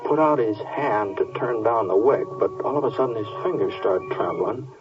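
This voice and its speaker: An actor playing a folksy American cowboy narrating a tale haltingly, in 1950s radio style. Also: male voice, lowish voice, animated, music in the background, lightly acted. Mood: hushed suspense. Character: folksy, hesitant cowboy telling a tale to a child. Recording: muffled distant 1950s radio recording.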